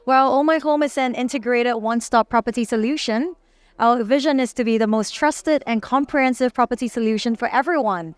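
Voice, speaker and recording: A woman, a company CEO pitching her business in an interview, polished but with frequent fillers. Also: fairly quick, clear, resonant tone, dry recording. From Singapore. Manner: polished, promotional, uses many fillers.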